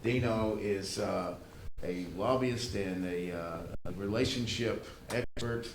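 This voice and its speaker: A man hosting a conference, speaking informally and haltingly to the room, often repeating words. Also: fairly low pitch. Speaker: event host. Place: good-sized meeting room. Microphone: livestream microphone, not amplified in room. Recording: roomy echo, faint background noise.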